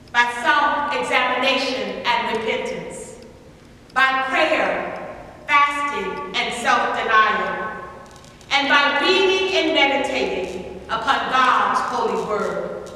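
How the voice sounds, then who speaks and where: A middle-aged woman priest reading a formal liturgy from the altar in slow, measured, solemn recitation, recorded from far back in a large church sanctuary. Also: sits high, sharp tone. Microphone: far camera at the back of the church. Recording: echoey church hall from far off.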